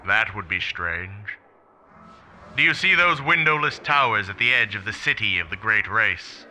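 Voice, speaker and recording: A man's voice: on the low side, animated, moderate pace, sharp tone. A man playing a formal, grave extraterrestrial in a radio play, speaking in stiff, literal phrases. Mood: solemn. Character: formal, grave extraterrestrial being, puzzled by humans.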